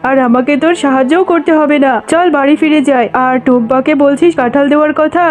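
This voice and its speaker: A woman's voice: high voice, fast, a little mellow, natural voice. A woman voicing a stingy, haughty tigress mother in a cartoon, scornful and grudging. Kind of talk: cartoon voice acting. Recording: music under the voice.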